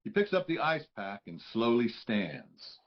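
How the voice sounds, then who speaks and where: A man's voice: mid-range voice, very animated, a little slow. Man reading stage directions, slightly muffled. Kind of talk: scripted narration.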